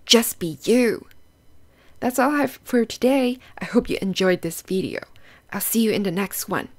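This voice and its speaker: A woman's voice: medium pitch, expressive, normal speed. A woman hosting a children's storybook read-aloud, explaining things in simple, instructive phrases and putting questions to young listeners.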